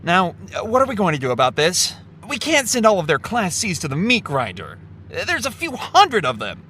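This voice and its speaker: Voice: medium pitch, huge pitch swings, normal speed, bright, forceful tone, slight background noise. An actor in an audio drama playing a flamboyant, sarcastic male entertainment executive with a theatrical, catty delivery. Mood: catty, teasing.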